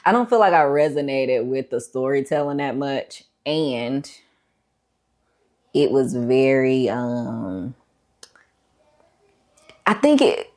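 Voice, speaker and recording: Very low-voiced woman, chatty vlogger. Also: lively intonation, slow pace, pauses often, a little mellow. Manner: emphatic, conversational, repeats herself for emphasis. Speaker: book review vlogger. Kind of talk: casual chatty book review.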